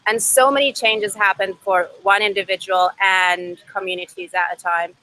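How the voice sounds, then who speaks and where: Bright-voiced young Iranian woman, conversational. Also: mid-range voice, steady tone, moderate pace, low-quality recording. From Iran. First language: Farsi. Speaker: podcast guest, startup co-founder. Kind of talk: conversational personal storytelling. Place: outdoors by a river. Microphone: video call.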